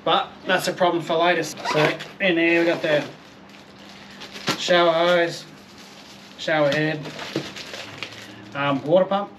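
A laid-back Australian man in his thirties talks through camping gear in an easygoing, self-deprecating way, with the odd mild swear word. His voice sits a little high and stays fairly flat in pitch. A faint outdoor hiss sits behind him, as he is recorded on a patio by a camera mic at some distance. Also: slow pace, pauses often. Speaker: camping vlogger. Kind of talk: casual product review and unboxing. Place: outdoor patio, then a garage.

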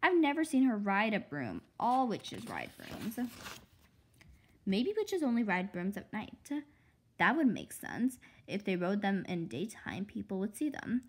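A woman's voice: medium pitch, wildly swooping pitch, unhurried, slightly rough, full character voice. A woman reading a children's book as an imaginative, anxious little girl who insists dramatically on her fears. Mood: confiding, suspicious. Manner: dramatic, emphatic. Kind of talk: children's book read-aloud.

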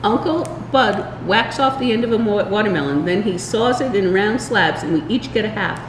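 An older woman reading a children's storybook aloud, expressive and deliberate, with small stumbles. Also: mid-range voice. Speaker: library story time reader. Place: indoors in a large library room. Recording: roomy echo, faint background room noise.

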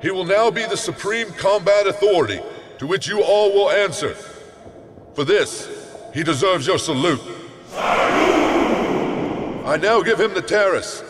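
A man's voice: high voice, a little slow, pauses often, in a large hall, crowd noise, background noise. An actor playing a solemn, fatherly elder leader of warriors in a fantasy audio drama, stately and formal. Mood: proud, ceremonial.

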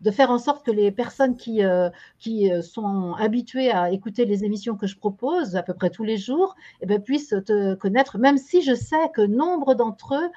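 A woman's voice: a little high, expressive, normal speed, few pauses, warm tone. An older woman hosting a daily online show, welcoming and questioning her guest over a video call, with searching phrasing and fillers. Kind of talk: interview hosting. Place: home room. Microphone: video call webcam. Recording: dull lo-fi webcam audio.

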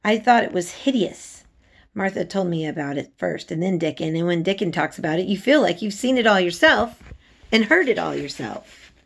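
A woman voicing an earnest, outspoken young girl in a storybook, explaining things in an animated way. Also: fairly low pitch, moderate pace, vocal fry, breathy edge, exaggerated voice. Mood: enthusiastic.

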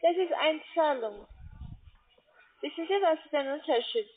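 Female call centre agent, high voice, foreign accent, broken phrasing, tinny phone line. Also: measured pace. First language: not German. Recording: narrow-band phone line.

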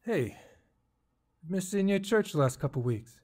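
A man's voice: sits high, lively intonation, moderate pace, darkish tone. An actor playing a friendly, reassuring evangelical pastor in his fifties in an audio drama. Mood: gentle, consoling. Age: 50s. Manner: reassuring, familiar. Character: friendly, encouraging middle-aged evangelical pastor.

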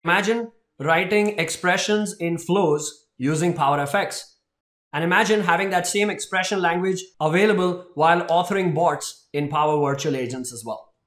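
A man's voice: high voice, normal speed, a bit bright. A man in his thirties giving an explanatory tech announcement presentation, explaining step by step with emphasis. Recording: roomy indoor echo.

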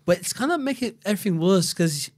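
A man, telling his story as a podcast guest in a casual, slangy way, with many restarts, recorded on a boom mic in a studio. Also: fast talker. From Brazil. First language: Portuguese. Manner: animated, slangy, restarts and repeats words. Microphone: studio mic on boom arm.

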